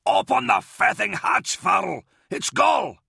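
A man's voice: fairly high pitch, a little fast, creaky, sharp tone, slightly rough, no echo, clean studio sound, pitched above the performer's own voice, heavily acted. A man voicing an embattled, short-tempered army officer in an audiobook, barking urgently. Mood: desperate yelling.

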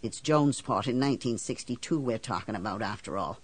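A woman's voice: extremely low pitch, lively intonation, brisk, a little mellow, husky, voice pushed down, heavily acted. Woman acting a blunt, embittered island housewife, wildly swooping. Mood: wry, reflective. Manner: folksy, blunt, indignant, ungrammatical country talk. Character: blunt, embittered working-class island housewife telling her story. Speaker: audiobook narrator. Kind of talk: first-person storytelling in character.

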